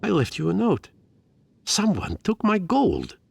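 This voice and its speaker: A man voicing a stubborn, self-pitying fluffy engineer creature in a game, narrating in a theatrical, brooding, gravelly manner. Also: high voice, huge pitch swings, unhurried, no echo, exaggerated voice. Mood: wounded, stubborn. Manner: theatrical, brooding, pompous delivery. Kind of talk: dramatic first-person game narration.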